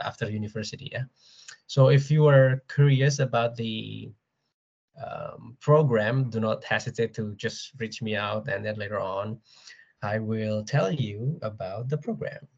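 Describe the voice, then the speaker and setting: A man's voice: lowish voice, gravelly, slightly rough. A man with an Indonesian accent, a lecturer giving an upbeat, coaxing online class to schoolchildren, with frequent ums and uhs. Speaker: university language lecturer. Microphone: video call. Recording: dull lo-fi video call audio.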